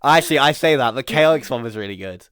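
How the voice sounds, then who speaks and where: A man's voice: mid-range voice, animated, quick pace, a bit bright, clear, resonant tone, no room sound, clean studio sound. A man hosting a podcast with informal, joking banter and some swearing. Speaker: podcast host. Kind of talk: casual chat, bantering.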